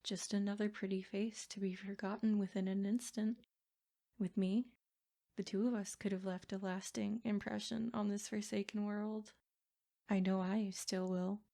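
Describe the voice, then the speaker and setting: A woman's voice: mid-range voice, flat delivery, normal speed, gravelly, mellow, lightly acted. A woman voicing a sadistic, possessive princess in an ASMR roleplay, speaking in formal, haughty phrases with silky menace. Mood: haughty, musing. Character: sadistic, possessive yandere princess, smug captor. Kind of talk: ASMR roleplay, scripted character monologue.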